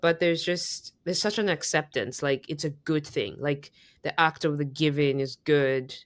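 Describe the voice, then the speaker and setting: Woman, from Zambia, low voice, chatty podcast co-host, rambling story, dry close recording. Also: a little slow, no echo. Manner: chatty storytelling, many fillers and restarts. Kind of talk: casual podcast conversation.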